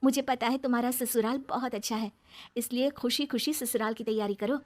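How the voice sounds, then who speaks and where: Casting: a woman as a meek young wife in an animated family tale, high voice, placating. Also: brisk, close to an everyday voice. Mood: reassuring. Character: gentle, submissive young daughter-in-law, apologetic and reassuring.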